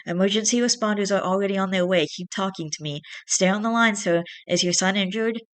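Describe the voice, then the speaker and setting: A woman voicing a calm but urgent emergency operator, brisk and questioning, in a story read aloud. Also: mid-range voice, natural voice. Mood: urgent, steady.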